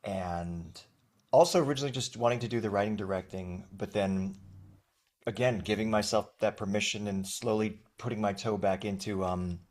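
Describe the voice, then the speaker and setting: A man in his forties, raised in Thailand, speaking as an actor guest in a conversational interview over a video call, reflective and halting with many fillers. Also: fairly low pitch, a little mellow.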